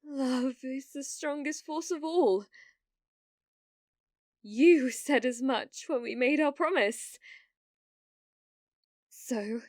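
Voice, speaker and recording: Woman acting a high-voiced, obsessive demon lord girl. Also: extremely slow, pauses often, no room sound, clean studio sound. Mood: hurt, resolute. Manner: theatrical, formal, lovestruck, forceful phrasing. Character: delusional, possessive young woman, self-proclaimed reincarnated demon lord. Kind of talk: ASMR roleplay acting.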